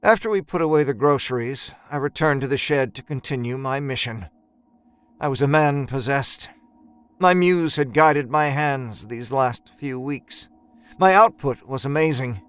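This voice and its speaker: A man narrating dramatic first-person horror fiction in a measured, deliberate, articulate manner. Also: medium pitch, smooth. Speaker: storyteller.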